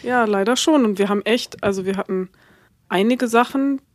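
A woman co-hosting a podcast in relaxed, easygoing casual chat, laughing often. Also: mid-range voice, normal speed, dry recording, clean studio sound. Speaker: podcast co-host. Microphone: remote recording, separate from co-host.

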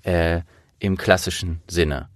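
A low-pitched man hosts a relaxed, chatty interview. The recording is dry and close, with little room sound. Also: takes it slowly, dry recording. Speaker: interviewer and host; trained voice actor.

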